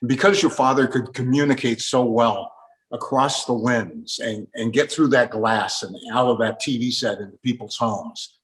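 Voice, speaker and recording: A man's voice: mid-range voice, normal speed, poor audio. Older American man interviewing and reminiscing. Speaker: interviewer with a radio background. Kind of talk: conversational interviewing with personal recollections.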